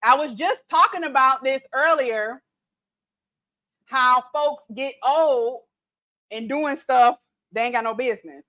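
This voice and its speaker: Chatty high-voiced woman in her late thirties. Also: slow, long pauses, pushed and bright, rough recording. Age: late 30s. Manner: chatty, laughing, reads viewer comments aloud. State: tipsy from mimosas. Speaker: cooking livestream host. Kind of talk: casual livestream chat.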